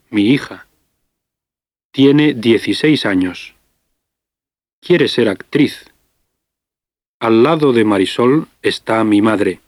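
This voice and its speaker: A man in his fifties playing a doctor travelling with his family, speaking clearly and carefully in a scripted language-lesson dialogue. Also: lowish voice, no echo, clean studio sound. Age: 50s. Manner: clear, careful, deliberate delivery. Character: fifty-year-old male doctor on family trip.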